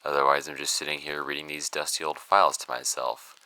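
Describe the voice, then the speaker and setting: A man's voice: very deep voice, little pitch movement, brisk, bright, forceful tone, heavily acted. An American actor playing a wry young man who hosts a podcast about old case files, sardonic and conversational, in an audio drama. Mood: wry, self-deprecating. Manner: sardonic, self-deprecating, conversational. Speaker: podcast host character. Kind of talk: audio fiction podcast monologue.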